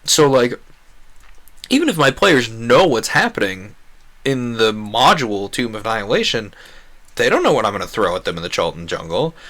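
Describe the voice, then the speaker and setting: A man's voice: medium pitch, big pitch swings, moderate pace, a bit bright. A man chatting casually as he streams a game, going off on rambling, enthusiastic tangents. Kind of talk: casual chat while playing a game.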